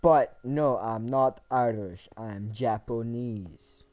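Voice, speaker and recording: A man chatting casually and profanely while playing a game, with sudden loud outbursts. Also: mid-range voice, slow pace, dull audio. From United States. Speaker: gaming video creator. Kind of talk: casual gameplay chat.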